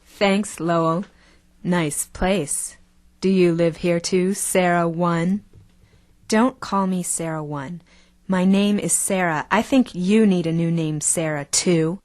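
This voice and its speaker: A woman's voice: on the low side, slow pace, darkish tone, no echo. An actress playing a cool, self-possessed, seductive woman of the stage in an audio drama, theatrical and self-assured. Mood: cool, catty.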